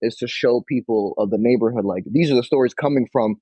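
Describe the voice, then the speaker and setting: A young man, chatting casually as an interview guest, relaxed and full of "like". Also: medium pitch, quick pace, slightly rough, dry recording. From Queens, New York. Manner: relaxed, peppered with "like", acts out quotes. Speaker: bookshop owner, guest. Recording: dull lo-fi dry sound.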